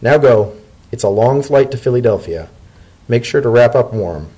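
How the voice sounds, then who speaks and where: Man as a wry, husky pony bureaucrat. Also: medium pitch, expressive, warm tone. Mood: fondly bossy. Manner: dry, brisk, fussily caring. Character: fussy, wry male pony civil servant, protective godfather. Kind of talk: dramatic reading of a story.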